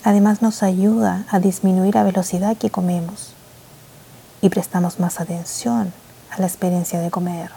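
A woman guiding a mindfulness meditation in a soft, slow, gentle way, with pauses between short phrases. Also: medium pitch, gravelly, a little hiss or hum, low-quality recording. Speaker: meditation guide. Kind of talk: guided mindfulness meditation, scripted and instructional.

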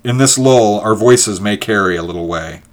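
A man voicing a proud, excited and urgent nobleman in a dramatic storybook reading. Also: lowish voice, normal speed, lightly acted.

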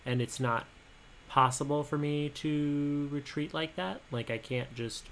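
Young male podcast co-host, twenties, high boyish timbre with a sharp brightness, halting and candid delivery. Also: a little hiss or hum. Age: 20s. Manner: halting, candid, soft-spoken about personal struggles. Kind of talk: casual podcast chat.

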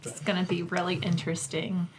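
A woman comedian guesting on a podcast, answering in a dry, deadpan way. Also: lowish voice, a little fast, background noise. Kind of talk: casual chat.

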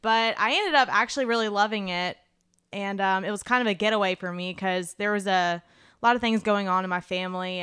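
A young woman, a podcast guest, talking casually in a loud voice and laughing easily. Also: on the low side, clear, resonant tone, dry recording, studio quality. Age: young adult. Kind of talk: casual interview answers.

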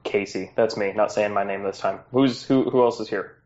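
A man's voice: fairly low pitch, fairly even intonation, normal speed, darkish tone, muffled recording, a little hiss or hum. A man hosting a tabletop game podcast as game master, narrating scenes with jokey asides.

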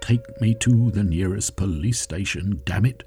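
A man's voice: low-pitched, unhurried, dark tone, faint music behind. A man voicing a cornered scheming man who growls and pleads. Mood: desperate.

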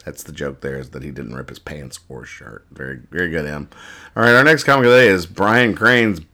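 A man's voice: a bass voice, a little fast, sharp tone. A man hosting a podcast, describing comic strips in casual banter with an amused, exasperated manner. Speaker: podcast host.

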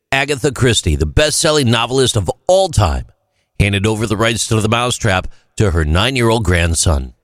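A man hosting a short story program, reading scripted narration in a measured, dramatic storytelling manner. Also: lowish voice, no room sound, clean studio sound.

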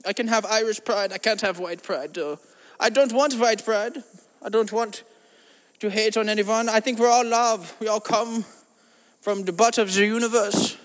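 A man putting on a grandiose self-styled guru with a thick German accent, preaching in a very high, lofty voice. He stretches his words out solemnly, then snaps into blunt asides. The voice rings with a long, hall-like reverb behind it. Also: normal speed, fairly bright tone, slight background noise. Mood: grandiose rambling. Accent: put-on German accent. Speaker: comedy podcast host. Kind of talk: improvised comedy monologue in character.

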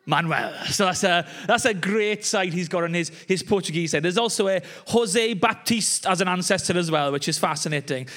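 A man's voice: sits high, fairly bright tone. A young Welsh man, a pastor, preaching a conversational sermon with joking asides. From Wales. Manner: chatty, joking asides with laughs.

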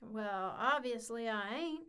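A woman as a brash young woman, high animated voice, slangy, scoffing, defensive, audio comedy. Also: takes it slowly, clean tone, full character voice. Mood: irritated. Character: brash, defensive, foul-mouthed working-class young woman. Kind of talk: comedic audio drama acting.

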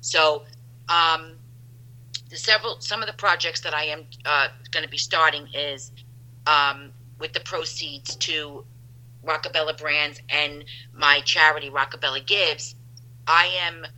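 A woman's voice: low voice, fairly even intonation, unhurried, sharp tone, poor audio. A woman in her fifties, a podcast guest talking in an upbeat, rambling way with many restarts. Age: 50s. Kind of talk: casual chat.

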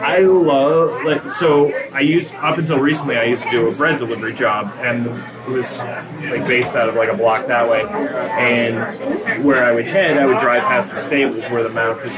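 A young man chatting casually, joking and wry with animated asides. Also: mid-range voice, moderate pace. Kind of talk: casual chat. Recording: crowd noise behind.

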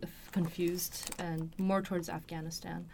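A woman's voice: lowish voice, level tone, measured pace, creaky, darkish tone, slight background noise. A woman, telling a personal story in an interview, hesitantly piecing her thoughts together. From Los Angeles, USA. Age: 20s. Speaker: interview guest. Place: recording studio.

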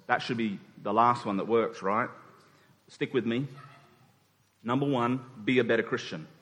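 Higher-voiced man preaching in a reverberant hall. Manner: rhetorical, emphatic with dropped asides. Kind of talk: sermon to a congregation.

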